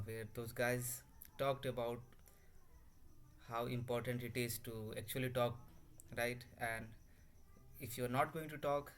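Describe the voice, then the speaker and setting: A young man from Bihar in India, a native Hindi or Bhojpuri speaker, talking unscripted to his laptop with many fillers and restarts. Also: mid-range voice. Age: young adult. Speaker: language learner vlogger. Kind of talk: unscripted casual talk to camera. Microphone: laptop microphone. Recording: faint background ambience on a laptop mic.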